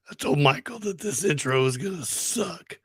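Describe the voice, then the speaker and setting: A man co-hosting a relaxed talk show with a hoarse, very husky voice, worn down by a sore throat. His tone stays laid-back and joking, and it comes through dry and close to the mic. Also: a little high, measured pace, no echo. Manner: laughing, swearing, laid-back. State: hoarse, lost voice. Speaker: co-host. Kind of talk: casual podcast chat.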